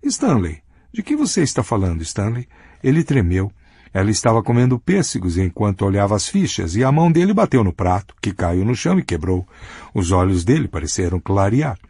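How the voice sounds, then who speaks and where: A man with a husky voice reading a novel aloud as an audiobook narrator, giving characters small voice shifts in dialogue. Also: lowish voice, sing-song, fairly quick, darkish tone, no room sound, clean studio sound. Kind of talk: scripted narration.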